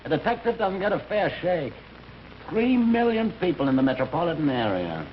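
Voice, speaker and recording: Frustrated detective, American accent, fairly high voice, vintage radio drama, faint noise. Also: male voice, sing-song, fairly quick. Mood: frustrated, brooding. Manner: terse, frustrated. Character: weary, brooding male police detective, obsessed with his case. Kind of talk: old-time radio drama acting. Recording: dull, noisy old radio recording.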